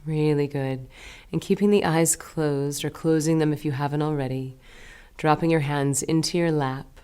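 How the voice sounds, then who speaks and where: A woman leading a guided meditation as a teacher, giving gentle, unhurried instructions with pauses between phrases. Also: low-pitched, fairly even intonation, smooth.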